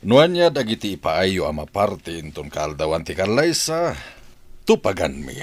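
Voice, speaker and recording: The bride's father in a village radio comedy, played by a man, with a low voice that moves expressively. He speaks deliberately and agreeably. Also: big pitch swings, no echo. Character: bride's father negotiating the wedding. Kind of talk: radio comedy drama acting.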